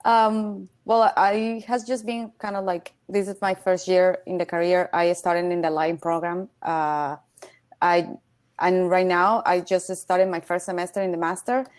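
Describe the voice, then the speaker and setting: A woman's voice: lowish voice, little pitch movement, unhurried, a little mellow. A young woman graduate student on a panel who talks hesitantly and says "like" often. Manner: hesitant, leans heavily on "like". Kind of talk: casual panel talk. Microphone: video call.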